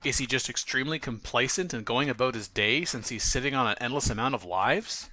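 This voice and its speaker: A man's voice: medium pitch, brisk, sharp tone, no room sound. A man playing a no-nonsense, curious investigator in a fan audio drama, speaking directly. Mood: puzzled.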